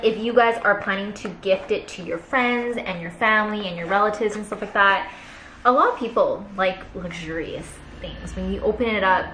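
A young woman chatting casually in a food vlog, unboxing gifts on her sofa and reading aloud haltingly while laughing at herself. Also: mid-range voice, fairly bright tone, reverberant, slight background noise, low-quality recording. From Canada. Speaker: food vlogger. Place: living room, seated on a sofa.

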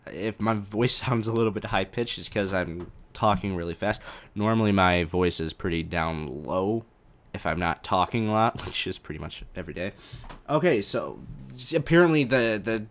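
A young man casually answering viewer questions about his game mod on a live stream, reading chat questions aloud and rambling a little. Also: fairly low pitch. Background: faint game music.

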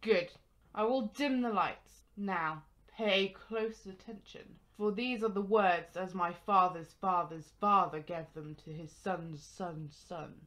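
A woman's voice: mid-range voice, slow, a bit bright. A woman acting a solemn old man, keeper of a legend, oracular in an amateur radio drama. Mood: guarded.

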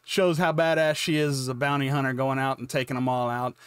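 A man's voice: medium pitch, flat delivery, brisk, a bit bright, clear, resonant tone, no room sound, clean studio sound. A man in his fifties hosting a reaction video with casual commentary in short, choppy, informal remarks. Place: small home room full of collectibles. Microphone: close mic on boom arm.

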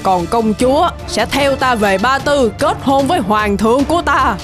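Wicked old witch for a cartoon: high voice, gloating menace, music underneath. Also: expressive, moderate pace. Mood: gloating, menacing. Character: wicked old witch scheming for her king. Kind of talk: cartoon villain voice acting. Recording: music behind.

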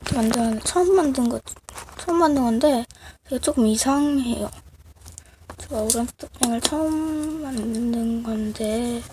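High female voice, voice off from a cold, casual craft show-and-tell, halting, noisy amateur recording. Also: dark tone. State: has a cold, voice sounds off. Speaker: craft video creator. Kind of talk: casual show-and-tell of handmade clay miniatures.

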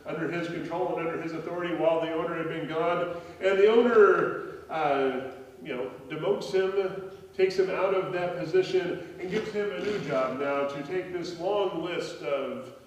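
A pastor in his forties preaches from the pulpit in a relaxed, storytelling way. His voice sits a little high for a man. He is heard from a distance, with a long echo around the church. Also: normal speed. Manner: conversational storytelling with gestures, frequent fillers. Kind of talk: sermon. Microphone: pulpit microphone, filmed by a far camera. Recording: echoey church hall, voice from a distance.

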